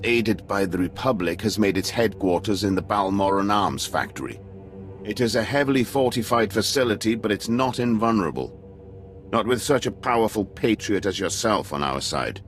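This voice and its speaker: Deep, pompous Imperial colonel. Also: male voice. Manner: formal, pompous, deferential military briefing. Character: stern male Imperial colonel, patriotic military commander. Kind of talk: scripted game cutscene acting.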